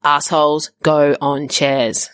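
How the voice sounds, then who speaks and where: A woman's voice: low voice, flat delivery, takes it slowly, clean tone, no room sound. A woman with an Australian accent voicing her blunt, laid-back young fiancé, teasing and matter-of-fact.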